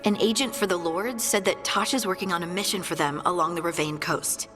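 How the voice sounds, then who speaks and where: An actress playing a practical scout giving a matter-of-fact briefing in a video game. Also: female voice, lowish voice, fairly quick, a bit bright, in a large hall, faint music behind, close to an everyday voice. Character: practical woman scout briefing her leader.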